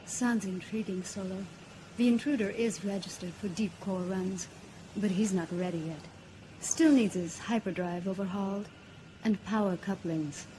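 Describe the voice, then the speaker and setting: An actress playing a sly, sardonic smuggler with a sultry, teasing manner in an audio drama. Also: female voice, medium pitch, normal speed, warm tone, a little hiss or hum, lightly acted, rough recording. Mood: shrewd. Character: sly, sardonic female smuggler, a jilted old flame. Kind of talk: space-opera audio drama acting.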